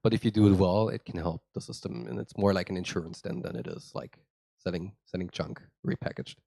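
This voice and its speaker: Male panelist, low dark gravelly voice, casual, dry close sound. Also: brisk, no room sound. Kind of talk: conference panel discussion.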